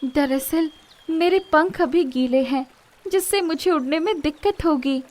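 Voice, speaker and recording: A woman voicing a polite, earnest young fairy in a children's story, with formal, dramatic and expressive speech. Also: high voice, unhurried.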